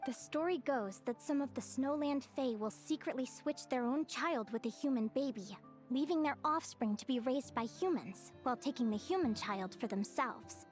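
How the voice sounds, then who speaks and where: A high, lively voice over prominent music: a cheerful young fae girl in a video game. She is friendly and earnest, with plenty of melodic movement in her speech. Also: normal speed. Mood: reflective storytelling. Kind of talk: English video game voice acting.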